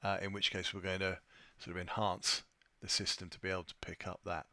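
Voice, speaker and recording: A man presenting an unscripted software tutorial, thinking aloud with a hesitant delivery as he walks through his screen. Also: low voice, completely flat delivery, fairly quick, no echo. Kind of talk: unscripted screen-share walkthrough.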